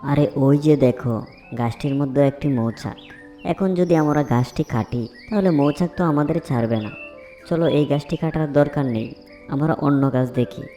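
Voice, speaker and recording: Guy playing a simple woodcutter in a kids cartoon, mellow mid voice, bit of music. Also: mid-range voice, moderate pace, lightly acted. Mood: practical. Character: plain-spoken, wary male woodcutters. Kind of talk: children's fairy tale cartoon voice acting.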